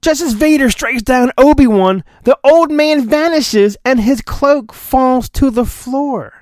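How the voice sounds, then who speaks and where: A man hosting a podcast, giving a mock-dramatic storybook reading and breaking into jokey, deadpan asides. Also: very high voice, slow, dry recording, studio quality. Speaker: podcast host.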